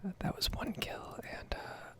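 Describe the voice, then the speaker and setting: A soft-spoken, unhurried gaming host giving a hushed, whispery ASMR ramble over gameplay. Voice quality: whispery, creaky.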